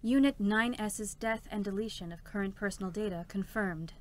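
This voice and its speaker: A woman's voice: medium pitch, moderate pace, lightly acted. A cold, procedural female support robot, acted by a woman, speaks in formal, clipped announcements. The game drama reading has music playing behind the unfeeling, clinical delivery. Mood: clinical, detached.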